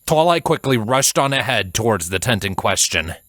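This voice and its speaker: A man reading a fantasy story as a narrator, in a measured, dramatic way. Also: on the low side, sing-song, fairly bright tone, no echo, studio quality. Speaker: fan fiction narrator.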